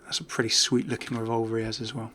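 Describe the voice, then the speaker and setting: A man's voice: fairly low pitch, little pitch movement, quick pace, sharp tone, a little hiss or hum. A man giving casual, off-the-cuff game commentary as a YouTuber, chatting and thinking aloud as he goes. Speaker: gaming YouTuber.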